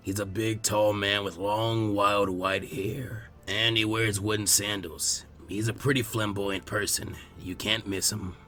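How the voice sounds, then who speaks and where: A man voicing a calm, kindly old village leader who speaks gravely in a slow, measured way. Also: on the low side, a little hiss or hum, voice pushed down. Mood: fond, reassuring.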